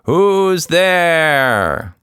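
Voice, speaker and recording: A man's voice: fairly high pitch, big pitch swings, extremely slow, bright, forceful tone, clean tone, no echo, clean studio sound, voice pushed up, full character voice. A man voicing a grumpy giant monkey in a children's story, with a thundering, exclaiming manner. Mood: angry. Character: giant grimy sewer monkey, grumpy, booming.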